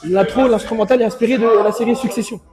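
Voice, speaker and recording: High-voiced young rapper, quick and casual. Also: male voice, fairly even intonation, a crowd in the background. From Congolese family. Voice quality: high, smooth voice. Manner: laid-back street slang, many restarts, trailing low asides. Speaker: rapper being interviewed. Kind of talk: casual interview chat.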